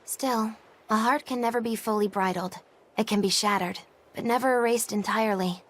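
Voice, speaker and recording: A woman's voice: a little high, moderate pace, natural voice. A woman playing a smug, flamboyant young witch in a fantasy video game, speaking dramatically. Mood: reflective. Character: theatrical, smug, gifted young female witch. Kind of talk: animated fantasy game acting.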